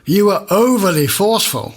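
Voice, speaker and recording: Man acting a historical village magistrate, high voice, pompous, officious, comic radio drama. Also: animated, moderate pace. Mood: stern, officious. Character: pompous male village court judge of olden times.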